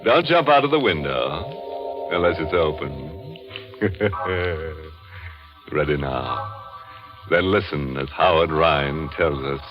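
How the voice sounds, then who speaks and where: Low, slow-talking ghoulish horror host. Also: male voice, animated, exaggerated voice. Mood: darkly playful. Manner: mock-sinister, punning, theatrical. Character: ghoulish, darkly jolly undead horror show host. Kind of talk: 1940s radio horror hosting. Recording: muffled 1940s radio with music behind.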